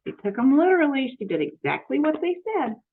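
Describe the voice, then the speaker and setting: A woman reading a picture book aloud to children, expressive and explanatory, pausing to ask questions. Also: high-pitched. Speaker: children's story reader. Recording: muffled narrow phone-like audio.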